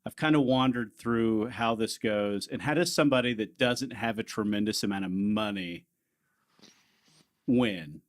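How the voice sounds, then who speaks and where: Chatty podcast host, low voice. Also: male voice, measured pace. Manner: chatty, rambling with restarts. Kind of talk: casual interview chat.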